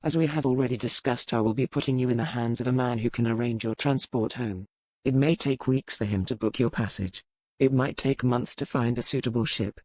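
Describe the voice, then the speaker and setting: A man's voice: mid-range voice, darkish tone, no room sound, lightly acted. A man acting a stern, formal pirate captain. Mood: cold, firm. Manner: formal, measured, commanding. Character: stern pirate captain, cold but courteous to a captive lady. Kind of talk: dramatized audiobook dialogue.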